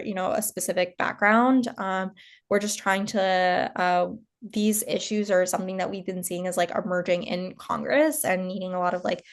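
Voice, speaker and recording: A woman co-presenting on an informational call, explaining and clarifying informally in halting, conversational phrases. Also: medium pitch. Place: online group call.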